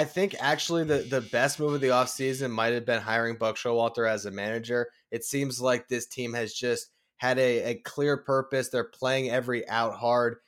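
Male baseball analyst, middle-pitched. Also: a bit bright. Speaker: baseball podcast host as guest analyst. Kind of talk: conversational sports analysis.

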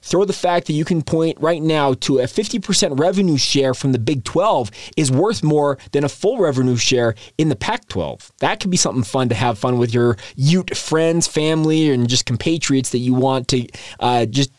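A man hosting a daily sports podcast, energetic and chatty, his voice a bit stuffy from allergies. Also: medium pitch, normal speed, few pauses, no echo. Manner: energetic, conversational, chatty asides. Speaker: podcast host.

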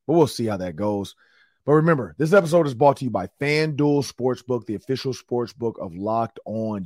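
A middle-aged man hosting a sports podcast, talking casually in a rambling way, his voice a little raspy from shouting. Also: mid-range voice, no room sound, studio quality. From Minnesota, US. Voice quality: slightly raspy. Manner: chatty, rambling with fillers and restarts. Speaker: podcast host.

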